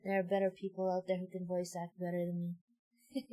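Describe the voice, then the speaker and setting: A woman's voice: fairly low pitch, monotone, fairly quick, mellow. A woman live-streaming a game, reading its dialogue aloud expressively and chatting casually with her viewers. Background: game music.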